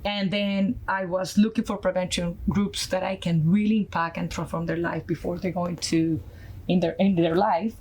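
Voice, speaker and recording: A woman from Colombia with a Colombian Spanish accent, a Spanish native speaker, telling her story earnestly as a podcast guest, pausing often with fillers. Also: fairly low pitch, fairly even intonation, darkish tone, slightly rough, rough recording. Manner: earnest, halting, many fillers. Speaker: guest, nonprofit founder. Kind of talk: podcast interview, personal story.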